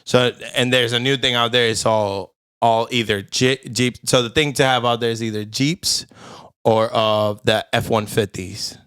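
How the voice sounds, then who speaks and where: A man's voice: mid-range voice, steady tone, normal speed, a bit bright, clean tone. A man co-hosting a podcast, telling stories in loose slang and laughing often. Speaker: podcast co-host. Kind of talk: casual chat.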